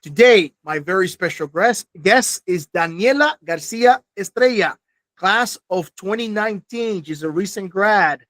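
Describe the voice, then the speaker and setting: A man in his forties hosting an alumni podcast, with a polished, measured delivery in the intro and questions. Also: high voice, lively intonation, a bit bright, dry recording. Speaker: podcast host.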